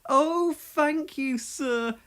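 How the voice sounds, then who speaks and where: A storyteller voicing a small, cheeky, easily upset tank engine in a storybook, exclaiming and complaining in drawn-out words. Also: sits high, a bit bright, voice pushed up, full character voice. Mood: grateful.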